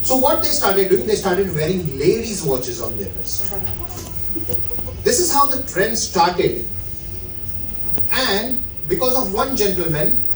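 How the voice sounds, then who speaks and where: A middle-aged man from India with an Indian English accent, giving a chatty talk to a live audience and asking them questions. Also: high voice. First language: not English. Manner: chatty, asks the crowd questions. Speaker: watch specialist presenter. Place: room at a live event. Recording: echoey room, speaker far from mic.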